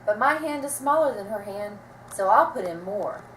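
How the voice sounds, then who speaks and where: Lady reading as little kid in a storybook, earnest and naive, lively pitch, roomy sound. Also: normal speed, close to an everyday voice. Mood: earnest confidence. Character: earnest, naive little boy helping bake. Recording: roomy, distant mic, faint noise.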